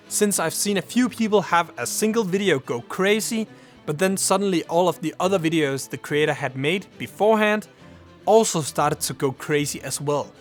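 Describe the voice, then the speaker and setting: A young Danish man, speaking English as a second language, talks straight to his viewers in a fairly high voice. He gives earnest advice in a steady, scripted way. Faint music plays under his voice. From Denmark. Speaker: YouTuber. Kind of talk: scripted vlog talk to camera.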